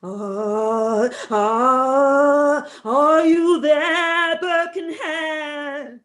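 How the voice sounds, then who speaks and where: A woman's voice: sits high, fairly even intonation, very slow, fairly bright tone, exaggerated voice. A woman playing a theatrical medium at a seance, grand and mystical, in a comedy audio drama.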